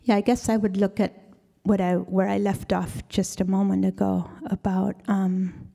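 A middle-aged woman from Argentina answering reflectively as a guest lecturer, earnest and measured, into a handheld microphone on an auditorium stage. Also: mid-range voice, little pitch movement, creaky, dark tone.

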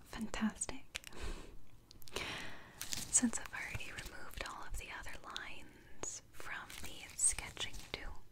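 A young woman whispers in an ASMR spa roleplay. Her voice sits fairly high and stays level. She is soothing and reassuring, like a technician attending to a client up close. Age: young adult. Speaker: ASMR creator playing a beauty spa technician.

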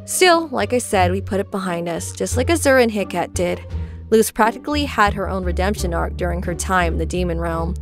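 A woman's voice: mid-range voice, lively intonation, a little fast, music in the background, close to an everyday voice. A woman voicing an earnest, defensive teenage witch girl in a comic dub, speaking in an articulate, emphatic way. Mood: reluctant, airing grievances. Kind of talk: comic dub character acting.

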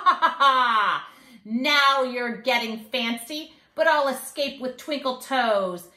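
A woman's voice: on the high side, sharp tone, reverberant, voice pushed up, heavily acted. A woman voicing a naughty, boastful little old leprechaun in a storybook, taunting in theatrical singsong rhymes. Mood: gleeful. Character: naughty, boastful two-hundred-year-old little male leprechaun trickster. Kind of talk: storybook read-aloud. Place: living room at home.

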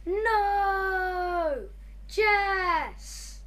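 A woman's voice: very high voice, extremely slow, a bit bright, heavily acted. A woman playing a whiny, pleading young child in a radio drama. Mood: distraught. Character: young child attached to a beloved toy. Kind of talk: amateur radio drama acting.

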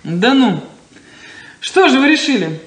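An actress playing a proud, insecure young daughter in a 1970s Soviet radio play, with a theatrical, defensive, wounded delivery. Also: female voice, high-pitched, very animated, unhurried. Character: proud, insecure, plain-spoken unmarried daughter. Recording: roomy 1970s radio drama recording.

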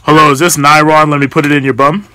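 A man's voice: fairly high pitch, level tone, quick pace. A man in his twenties making casual prank phone calls, hesitant and falsely polite, with slangy wording. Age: 20s. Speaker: prank caller. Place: small bedroom, indoors. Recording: slight background noise, close home recording.

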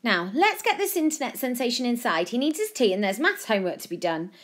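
A woman voicing a confident, cheeky schoolboy who acts like a brisk, self-important manager in a children's story. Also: a little high, sing-song, voice pushed up. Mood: cheeky, bossy.

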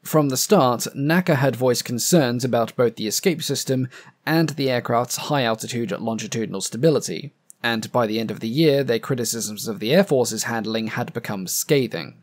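A man hosts his own aviation history channel, reading a scripted narration in a measured, earnest tone. His voice sits at an ordinary middle pitch and sounds a little strained and uneven, as if he has been losing it. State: voice a bit up and down from losing it.

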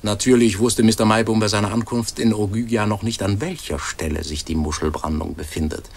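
A man's voice: on the low side, fairly even intonation, a little fast, creaky, a little mellow. An actor playing a jovial, impatient male newsroom boss in a German radio play. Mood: solemn reporting.

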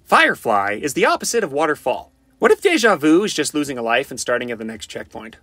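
A man's voice: mid-range voice, big pitch swings, fast talker, sharp tone, dry recording, pitched below the performer's own voice. A man voicing a cocky, flirty, goofy wisecracking survivor for fan-made video game lines, in a playful, theatrical, quippy manner. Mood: musing, deadpan. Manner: playful, theatrical, quippy, often sing-song.

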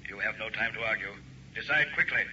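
Supernatural tempter played by a man, measured menace, hard forceful tone, vintage radio with faint noise. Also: on the low side. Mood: commanding, sinister. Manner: measured, deliberate. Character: sinister male supernatural bargainer, commanding, unhurried. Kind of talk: 1960s radio drama acting. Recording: muffled old radio recording, faint noise.

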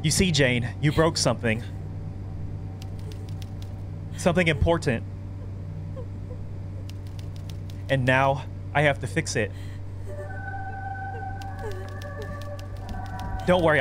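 Man acting a calm, menacing male psychopath. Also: fairly high pitch, lightly acted. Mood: sinister. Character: menacing thirty-year-old male psychopath, possessive, calmly sinister. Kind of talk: reading horror game dialogue aloud.

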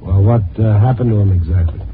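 An actor playing a wry, sympathetic newspaper reporter, narrating a 1950s radio drama in a measured way. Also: male voice, on the low side, close to an everyday voice. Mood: gentle, concerned. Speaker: lead and narrator. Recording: muffled 1950s radio recording with music.

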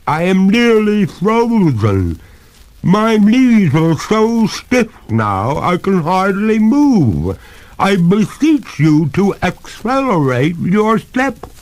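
Man putting on a high, pleading lady ghost. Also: sing-song, a little mellow, exaggerated voice, rough recording. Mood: complaining. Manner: formal, drawn-out, melodramatic pleading. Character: pleading, despairing lady water ghost, genteel and haughty.

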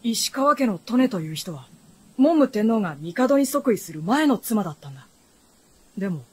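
A guarded, husky-voiced proud boy. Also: mid-range voice, no room sound. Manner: blunt, curt boyish speech. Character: guarded, proud teenage boy, secretly of royal birth. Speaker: radio drama actor. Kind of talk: period fantasy radio drama acting.

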